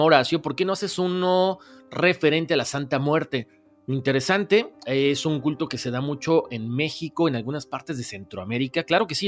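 A man with a Latin American Spanish accent hosting a mystery show, talking conversationally and reading listener stories in an expressive storytelling way. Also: on the high side. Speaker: host of a paranormal stories show.

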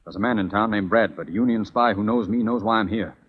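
An actor playing a cool, courtly military captain and secret agent in a 1940s radio western, formal and commanding in manner. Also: male voice, lowish voice, fast talker, a little hiss or hum, lightly acted. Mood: brisk, commanding. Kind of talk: 1940s radio drama acting. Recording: muffled old 1940s radio broadcast.

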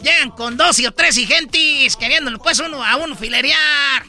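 A man doing a squeaky, fussy old man. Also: normal speed, bright, forceful tone, full character voice. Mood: mocking. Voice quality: high, squeaky put-on voice. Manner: chattering and teasing, given to sung verses. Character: fussy older Mexican man, nosy and comic. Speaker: radio comedy character. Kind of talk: comic radio sketch with sung parody. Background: music.

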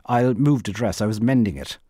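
A man voicing a polite, flustered young woman who chatters and tries to stay calm, in an audiobook story. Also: lowish voice, fairly quick, no echo, studio quality, lightly acted. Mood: polite, nervous chatter. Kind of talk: literary audiobook character voice.

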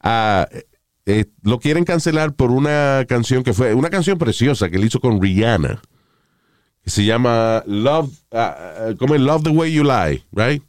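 A male host riffs loosely through the show's talk, joking and swearing as he goes. His pitch swings widely from line to line. The sound is dry and close, with no room around it. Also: lively intonation, a little slow, darkish tone, no room sound. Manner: rambling, joking, crude. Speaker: show host. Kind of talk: casual studio banter.

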